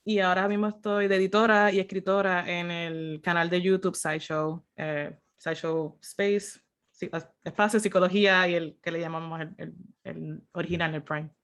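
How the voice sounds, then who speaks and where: A woman's voice: fairly low pitch, fairly even intonation, normal speed. A woman in her thirties with a Puerto Rican accent speaks on a video call, casual and a bit hesitant, trailing off at phrase ends. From Puerto Rico. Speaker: science writer, panelist.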